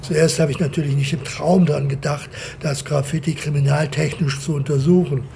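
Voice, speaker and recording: Big forensic technician, soft dark tone, fry in the voice, unhurried, pedantic, radio drama. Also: male voice, fairly high pitch, steady tone, a little hiss or hum. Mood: indignant, dry. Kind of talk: naturalistic German radio drama.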